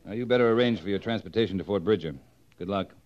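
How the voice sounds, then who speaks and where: An American man playing a dry-witted, authoritative cavalry captain in a 1950s radio western, clipped and deadpan. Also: fairly low pitch, fast, lightly acted. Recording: dull, noisy old radio recording.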